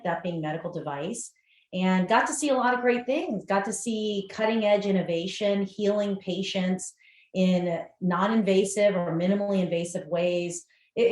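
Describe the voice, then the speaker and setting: Middle-aged woman guest in an echoey room. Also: lowish voice. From United States. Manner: warm storytelling, occasional stumbles. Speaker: guest entrepreneur. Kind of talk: conversational interview.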